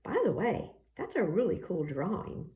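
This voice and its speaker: Woman as a friendly, animated young schoolboy. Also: lowish voice, moderate pace. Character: friendly, kind new schoolboy.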